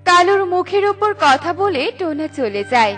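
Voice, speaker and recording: High-pitched woman narrating a children's story. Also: very animated, moderate pace, a bit bright, soft background music.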